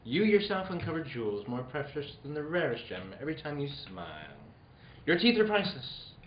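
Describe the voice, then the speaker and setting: A man performs a polished vintage radio announcer, selling every phrase with swooping emphasis. His voice sits slightly high, and the recording is roomy and a little dull. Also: big pitch swings, fairly quick, a little hiss or hum. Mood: salesman-like. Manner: grandly emphatic, salesman-like. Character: polished, upbeat old-time radio announcer and pitchman. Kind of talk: 1940s radio announcing, scripted ad read.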